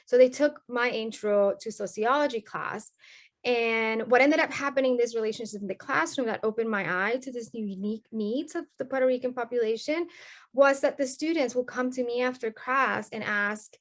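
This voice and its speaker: A woman's voice: fairly high pitch, normal speed. A woman from Colombia with a Colombian Spanish accent, a sociology professor answering interview questions in an earnest, explanatory way.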